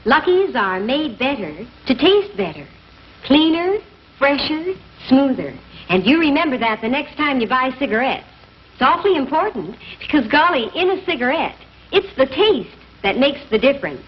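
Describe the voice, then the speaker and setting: A woman's voice: high voice, very animated, moderate pace. Chirpy 1950s woman ad announcer. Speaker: commercial announcer. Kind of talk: 1950s cigarette ad pitch. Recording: lo-fi 1950s broadcast.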